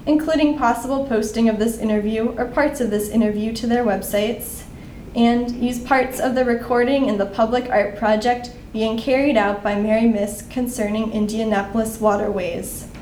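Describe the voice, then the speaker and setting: A young woman, a graduate student, reading a formal oral history introduction and then asking interview questions in a measured way. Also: steady tone, a little mellow. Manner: measured, reads the consent script carefully. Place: conference room. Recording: echoey room with faint rumble.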